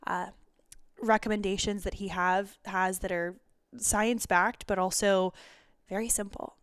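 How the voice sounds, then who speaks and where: Casting: young woman podcast host, rambling and chatty, smooth clear tone, dry close sound. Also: mid-range voice, dry recording. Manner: chatty, rambling, self-interrupting. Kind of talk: solo intro then interviewing.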